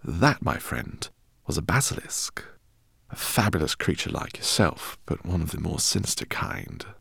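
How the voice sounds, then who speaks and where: A man voicing an excitable, eccentric older male professor who speaks in effusive, fussy exclamations. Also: low-pitched, moderate pace, creaky, breathy edge, no echo, heavily acted. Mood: explaining. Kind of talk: audiobook character reading.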